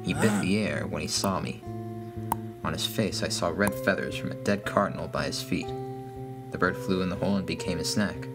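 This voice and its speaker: A man's voice: deep voice, moderate pace, gravelly, over loud music. A man reading a scripted first-person horror story as its narrator.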